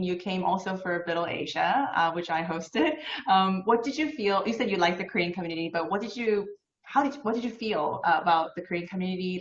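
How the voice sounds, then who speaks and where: A young woman hosting an interview, asking questions in a chatty, friendly way. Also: fairly low pitch. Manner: chatty, friendly, laughs easily. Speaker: interview host. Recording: dull, roomy sound.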